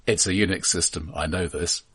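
Male co-host with a raspy, fairly low voice, casually analysing films with dry delivery. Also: steady tone, brisk, fairly bright tone. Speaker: podcast co-host. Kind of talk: casual film discussion.

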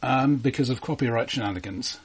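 A man with a husky voice co-hosting a film podcast, talking off the cuff in a hesitant, stammering, wryly critical way. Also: mid-range voice, fairly quick. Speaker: podcast host. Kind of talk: unscripted film discussion.

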